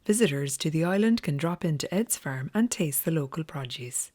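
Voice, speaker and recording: A woman's voice: sits low, lively intonation, normal speed, a little mellow. A woman, narrating a travel documentary as its presenter.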